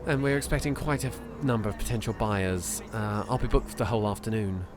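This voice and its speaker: A man's voice: lowish voice, quick pace, noisy background, lightly acted. A man voicing a friendly, slightly flustered young woman estate agent, with casual, hesitant delivery for a visual novel.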